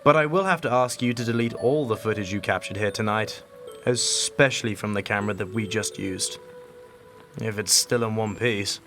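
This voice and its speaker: Man acting a calm, mysterious time guardian, music behind. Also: on the low side, lightly acted. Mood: grave, measured. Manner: measured, formal, gently wry. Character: calm, mysterious male agent guarding against time distortions. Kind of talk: audio drama acting.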